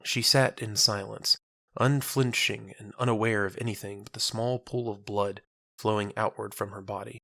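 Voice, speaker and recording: A narrator reading a scripted audio drama in a measured, solemn, dramatic manner. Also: mid-range voice, steady tone, vocal fry, sharp tone, no echo, clean studio sound.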